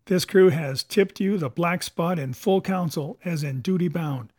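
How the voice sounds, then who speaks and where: Guy doing a cheeky mutinous pirate, raised pitch, blunt and accusing, rough sailor grammar, storybook style. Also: unhurried, darkish tone. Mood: stern, challenging. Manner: blunt, insolent, rustic sailor's dialect. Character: rebellious, accusing long lean pirate mutineer George Merry. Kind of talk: dramatic audiobook character voice.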